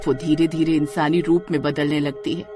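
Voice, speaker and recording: A woman narrating a scripted horror story in a dramatic, expressive way. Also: deep voice, normal speed, faint music behind.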